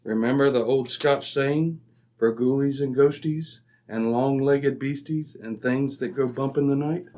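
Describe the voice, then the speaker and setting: An actor playing a composed, incisive British gentleman detective in an old-time radio mystery, speaking briskly and precisely. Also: mid-range voice, close to an everyday voice. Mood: calm, wry. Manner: brisk, precise, self-assured.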